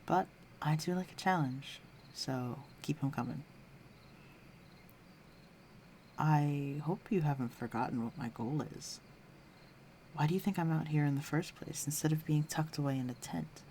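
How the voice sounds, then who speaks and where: A man's voice: on the high side, moderate pace, darkish tone, a little hiss or hum, lightly acted. A man playing a flirtatious, teasing villain with a secretly tender side, speaking intimately to the listener in an audio roleplay. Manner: teasing, coaxing, breathy murmurs.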